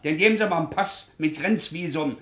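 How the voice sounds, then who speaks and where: High-voiced desperate jobless ex-convict. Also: male voice, brisk, sharp tone. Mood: pleading, weary. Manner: colloquial, ungrammatical, plaintive. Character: jobless ex-convict shoemaker, stubborn, desperate, pleading for papers. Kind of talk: 1950s German radio play acting. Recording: roomy early 1950s radio studio.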